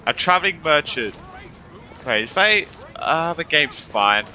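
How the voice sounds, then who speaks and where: A man doing a casual let's play, reacting and joking in slang. His voice is very bright and fairly high, and it jumps around in pitch over faint music. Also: sing-song, measured pace. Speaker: gamer, let's play host. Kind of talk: casual gameplay commentary.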